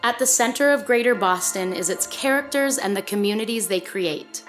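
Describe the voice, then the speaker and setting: A woman's voice: mid-range voice, normal speed. A woman giving a scripted podcast introduction as the show's producer. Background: music.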